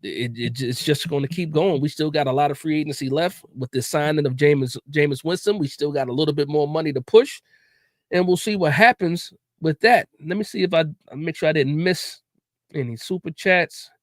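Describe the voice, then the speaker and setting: A man hosts a casual sports talk livestream in a relaxed, chatty way, often repeating himself and dropping "man" into his sentences. His voice sits a bit high. The sound is dry and close, with little room around it. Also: brisk, no room sound. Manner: laid-back, repeats words, says "man" often. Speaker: podcast host.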